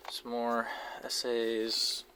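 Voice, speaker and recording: A man giving casual, unscripted show-and-tell commentary in a low-key, halting way, as if musing to himself. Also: monotone. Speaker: hobbyist video creator showing a cassette collection. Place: basement, indoors. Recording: noisy amateur audio with clicks and handling noise.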